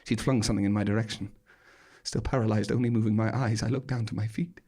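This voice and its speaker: A gravelly, husky voice tells a horror tale as a stage monologue. It is a male actor as a haunted, theatrical man who lingers on each gruesome detail with dramatic relish. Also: fairly low pitch, fairly even intonation, fast talker, darkish tone. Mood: horrified, dramatic. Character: haunted man recounting a grisly hotel nightmare, wry and dramatic.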